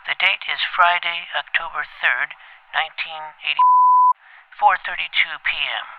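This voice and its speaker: A man playing a pompous, precocious twelve-year-old boy detective in an audio drama, formal and wordy, with a creaky voice. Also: a little high, fairly even intonation, unhurried, lo-fi sound, heavy background noise. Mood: businesslike. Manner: formal, verbose, clipped interrogator's delivery. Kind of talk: scripted audio drama acting.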